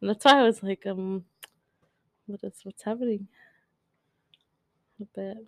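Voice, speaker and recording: A woman's voice: mid-range voice, steady tone, dry recording. A young woman co-hosting a casual couple's podcast, telling stories candidly. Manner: candid, storytelling, quick laughs. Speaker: podcast co-host.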